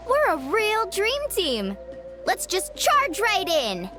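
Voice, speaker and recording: A woman's voice: very high-pitched, wildly swooping pitch, slow pace, heavily acted. A woman voicing a spirited, boastful young girl warrior in a video game, with an exuberant, dramatic delivery. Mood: excited. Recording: loud game music underneath. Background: loud background music.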